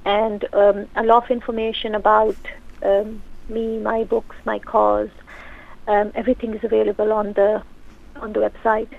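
Gravelly woman on a phone line. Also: medium pitch, completely flat delivery, normal speed. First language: Urdu. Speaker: guest author and counsellor. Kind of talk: radio interview guest.

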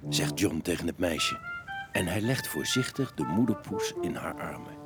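A man's voice: low voice, very animated, moderate pace. A man narrating a children's audio story in a dramatic, suspenseful, scripted style. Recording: music bed behind the narration.

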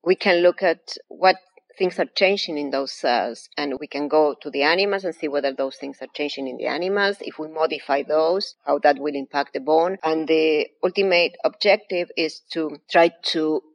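A woman's voice: low voice, normal speed. A woman from Argentina with an Argentine Spanish accent, a professor explaining her research patiently and methodically as an interview guest.

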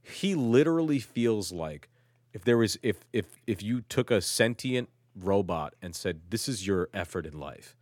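Man from turkey, low voice, dry close sound, casual rambling profane chat. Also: expressive, a little slow, darkish tone, dry recording. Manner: rambling, profane, self-mocking, with many restarts.

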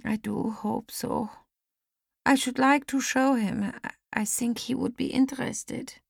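Woman as elderly lady, frail, gentle, wistful, slow deliberate speech, creaky, audiobook character. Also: on the high side, steady tone, no room sound, studio quality, pitched above the performer's own voice, full character voice. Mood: anxious, hopeful. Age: older.

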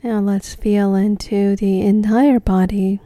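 A woman leading a guided meditation in a gentle, soothing, unhurried way, leaving long pauses between short phrases. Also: medium pitch, steady tone, no room sound.

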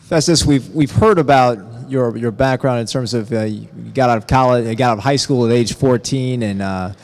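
A male sports reporter putting questions on a conference call in a halting, stammering way. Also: mid-range voice, a little mellow.

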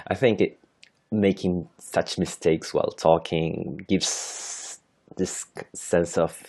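A man's voice: low voice, steady tone, slow pace, darkish tone. A man in his thirties from Chile with a Chilean Spanish accent, a native Spanish speaker hosting an unscripted solo podcast in English, hesitant and full of fillers and self-corrections. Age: 30s. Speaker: podcast host.

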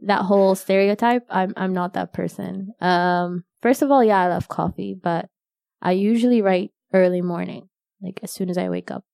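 A young woman whose first language is Arabic, chatting casually as a guest in an interview, self-deprecating and quick to laugh. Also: mid-range voice, vocal fry, a little mellow, no room sound, clean studio sound. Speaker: novelist guest.

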